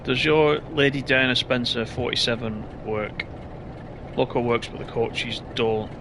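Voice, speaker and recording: A man casually commenting on his train game while streaming, reading out and answering chat comments, his voice a bit hoarse. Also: mid-range voice, measured pace, background noise. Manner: chatty, reads out chat comments. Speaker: live streamer.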